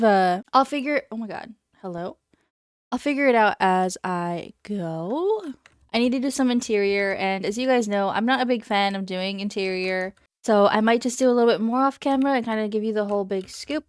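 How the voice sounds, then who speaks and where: A woman's voice: mid-range voice, clean tone, no room sound. Chatty young woman, slightly congested. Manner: chatty, rambling, self-correcting. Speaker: Minecraft YouTuber. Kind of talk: casual gameplay commentary.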